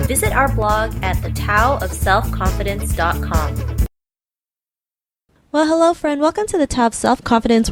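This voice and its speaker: A woman hosting a podcast interview, upbeat and welcoming as she introduces her guest. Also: medium pitch. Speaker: podcast host.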